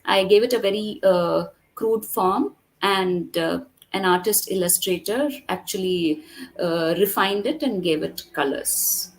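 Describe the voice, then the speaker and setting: A woman from Kerala whose first language is Tamil, talking as a storyteller guest, explaining in a measured way with frequent fillers. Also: mid-range voice, rough recording. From Kerala, India. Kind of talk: conversational interview and storytelling.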